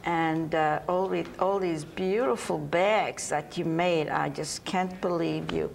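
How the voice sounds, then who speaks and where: A woman's voice: sits low, steady tone, slow pace, vocal fry, slight background noise. An older woman from Hungary hosting a talk show, asking questions and prompting her guest with short remarks. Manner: warm prompting, short interjections and laughs. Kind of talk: casual interview.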